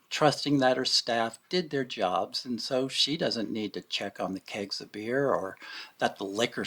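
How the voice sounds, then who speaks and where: A man reads scripted story narration for a radio drama in a measured, storytelling way. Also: medium pitch, sharp tone. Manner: measured storytelling in present tense, acting out brief quotes.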